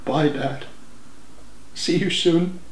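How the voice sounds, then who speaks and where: A man voicing a regretful, emotional young dead man in an audiobook, speaking haltingly and correcting himself. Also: on the high side, fairly even intonation, reverberant, slight background noise, pitched above the performer's own voice, poor audio. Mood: resigned farewell. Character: regretful young dead man, tender son turning desperate.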